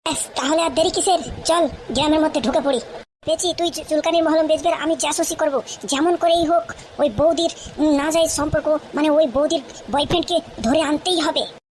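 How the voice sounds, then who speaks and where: Woman doing a squeaky, fast cartoon village huckster. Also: fairly even intonation, a little mellow, slight background noise, natural voice. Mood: scheming eagerness. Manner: boisterous, patter-like street hawking. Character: mischievous cheeky village sidekick hawking cheap ointment. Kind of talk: comic cartoon dialogue.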